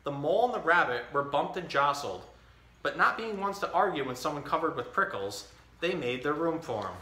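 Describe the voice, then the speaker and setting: A man in his thirties hosting a storytime, reading a children's picture book aloud with expressive, clearly enunciated delivery. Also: fairly high pitch, fairly quick. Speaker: storytime host. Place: indoor tiled-floor room. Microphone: camera a few feet away. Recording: echoey room.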